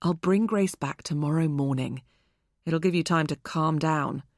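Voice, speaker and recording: A woman reading an audiobook, voicing a calm, patronizing adult man. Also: fairly high pitch, measured pace, no echo, clean studio sound. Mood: weary. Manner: patronizing, unruffled.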